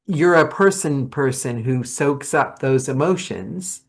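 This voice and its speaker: A woman hosting a casual podcast chat, rambling and animated, laughing often. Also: a bass voice, takes it slowly. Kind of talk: casual video-call chat.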